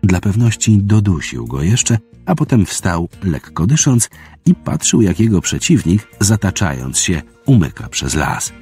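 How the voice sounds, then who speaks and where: Male audiobook narrator, dramatic fantasy storytelling, dark mellow tone, slightly husky. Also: fairly low pitch.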